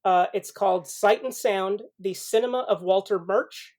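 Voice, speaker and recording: Man chatting haltingly on a podcast. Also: high-pitched, a little mellow. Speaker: podcast panelist. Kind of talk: casual chat, film recommendations.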